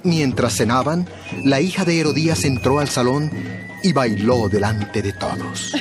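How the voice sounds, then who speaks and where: A man's voice: mid-range voice, measured pace, music in the background. A man narrating a dramatized Bible story from a script.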